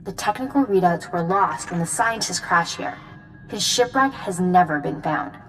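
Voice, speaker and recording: Low-voiced resolute woman commander, acted. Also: lively intonation, faint music behind, close to an everyday voice, low-quality recording. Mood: grave, resolute. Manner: serious, measured, authoritative. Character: resolute, grave female Jedi commander giving mission briefings. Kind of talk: video game cutscene acting.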